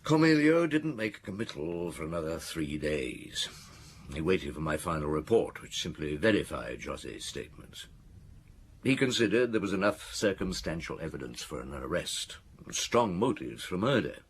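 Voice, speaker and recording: An actor playing a seasoned, middle-aged chief inspector, wry and measured, recounting a case in a radio drama. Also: male voice, deep voice, big pitch swings, slight background noise. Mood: reflective. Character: seasoned male police chief inspector, reflective, wry storyteller.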